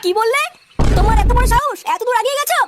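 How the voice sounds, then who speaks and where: A young Bengali wife for a comedy cartoon. She scolds shrilly, extremely high and at great speed, full of indignant threats. The track has clear noise. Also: fast talker. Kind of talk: comic cartoon dialogue.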